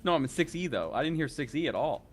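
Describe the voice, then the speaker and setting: A man's voice: fairly high pitch, big pitch swings, fast, faint music behind. A man playing an oblivious, hesitant townsman who rambles awkwardly in a tabletop game. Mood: uneasy, oblivious.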